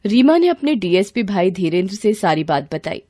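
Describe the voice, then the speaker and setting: Female storyteller. Mellow, soft-edged tone, dry close recording, scripted drama narration. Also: mid-range voice, very animated, normal speed, no room sound.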